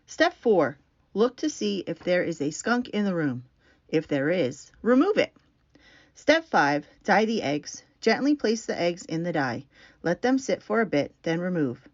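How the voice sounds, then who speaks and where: A woman's voice: fairly low pitch, very animated, measured pace. A woman narrating a children's picture book in a read-aloud style, on a slightly dull recording.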